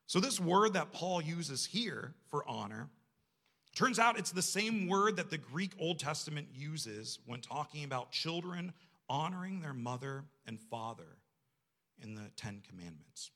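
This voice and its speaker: A man's voice: on the high side, big pitch swings, measured pace, sharp tone. A man preaching a sermon to a congregation in a conversational, self-deprecating way, often posing rhetorical questions. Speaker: church staff member preaching.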